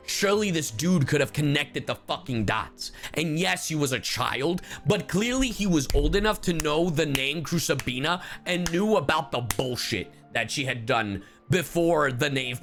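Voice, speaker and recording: A man livestreaming a game with casual commentary, rambling in an animated way and swearing freely. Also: a little high, normal speed, hardly any pauses, soft background music.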